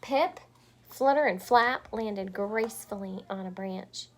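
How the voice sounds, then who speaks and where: A woman reading a picture book aloud to children, expressive, with deliberate phrasing, dramatic pauses and small explanatory asides. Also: medium pitch, slight background noise.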